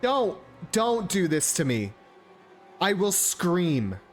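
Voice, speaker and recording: A man's voice: sits high, sing-song, takes it slowly, soft background music. A man giving casual playthrough commentary as a gaming streamer, with an irreverent, joking, sweary manner.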